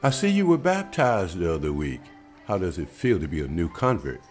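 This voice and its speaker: A man's voice: deep voice, very animated, normal speed, soft background music. A man reading the part of a polite, earnest older suitor courting a young woman at a table read.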